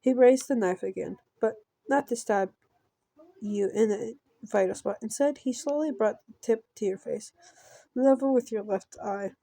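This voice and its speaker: A woman reading visual novel text aloud in an amateur let's play, halting and often repeating words as she goes. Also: fairly high pitch, dark tone, dry recording.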